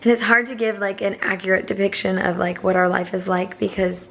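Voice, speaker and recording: A hoarse young woman chatting to camera as a foster parent, rambling with many fillers. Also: medium pitch, little pitch movement, fairly quick, a bit bright, slight background noise, rough recording. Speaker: vlogger. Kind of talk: casual chat to camera.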